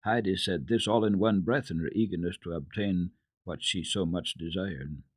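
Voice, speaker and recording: A man's voice: deep voice, normal speed, no echo. A man narrating a children's story in a dramatic scripted radio reading style.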